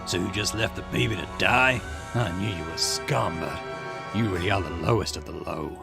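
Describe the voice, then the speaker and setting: A blunt, contemptuous man from a game, voiced by a man in a very deep, gravelly register. He speaks in curt jabs over clearly audible game music. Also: normal speed. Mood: scornful. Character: blunt, scornful man confronting a killer. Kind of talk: reading game dialogue aloud.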